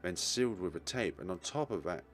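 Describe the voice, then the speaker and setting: Male game narrator, lower register, reads story text with small slips, light music underneath. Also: expressive, fairly quick. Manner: stumbles and corrects words while reading. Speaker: let's player. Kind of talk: reading a visual novel aloud.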